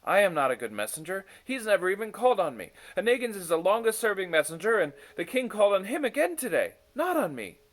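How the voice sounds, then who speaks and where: A man's voice: high-pitched, big pitch swings, fast talker, few pauses, pitched above the performer's own voice. A man voicing a proud but self-doubting messenger in a storybook, fretful and questioning himself.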